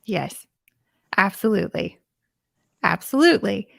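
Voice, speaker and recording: A woman's voice: medium pitch, expressive, unhurried, vocal fry, no echo, clean studio sound. A woman hosting a live conversation, affirming her guest with frequent agreements before explaining her view. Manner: affirming, lots of agreeing interjections. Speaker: host. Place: live event stage.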